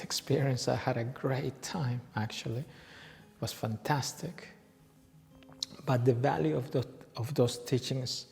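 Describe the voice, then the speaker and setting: A man in his forties, originally from Peru, a yoga teacher telling his story in a slow, reflective way with frequent pauses. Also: mid-range voice, creaky. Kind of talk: personal storytelling. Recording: reverberant room with soft background music.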